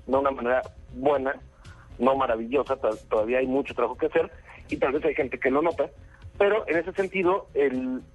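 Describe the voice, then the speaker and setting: Mexican male spokesman, raspy voice, explanatory with fillers, soft music bed. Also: medium pitch, muffled recording. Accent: Mexican Spanish. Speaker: company spokesman. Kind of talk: interview answers.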